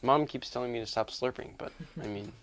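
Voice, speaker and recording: A woman's voice: a bass voice, fairly quick, slight background noise. A woman who grew up partly in China, chatting casually in an offhand, tentative way. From China, as an expatriate child. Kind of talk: casual chat.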